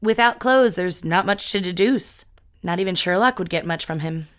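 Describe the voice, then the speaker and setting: A woman's voice: fairly low pitch, normal speed, close to an everyday voice. A woman playing a friendly, easily flustered young tenant in an audio drama, with a wry manner. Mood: wry, eager.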